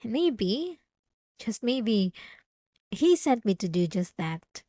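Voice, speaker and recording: A woman plays a demure young church girl in an intimate ASMR roleplay, speaking slowly in a close, dry recording. She is pious and over-polite, hesitating as she addresses the listener, with a clingy devotion under the sweetness. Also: fairly high pitch, very animated, dark tone, no echo, exaggerated voice. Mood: coy, eerily pleased.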